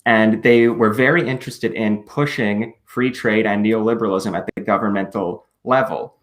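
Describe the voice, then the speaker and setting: A man with a lowish voice talks through political history as a podcast guest. He is measured and careful, hedging his claims and pausing on fillers as he restarts sentences. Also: steady tone, a bit bright. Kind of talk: explaining politics in a podcast conversation.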